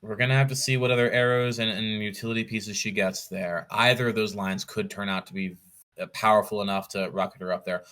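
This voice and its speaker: A man talking casually as an interview guest, in a measured, analytical way, thinking aloud as he goes. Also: lowish voice, fairly even intonation, fairly bright tone. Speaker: podcast guest, competitive card game player.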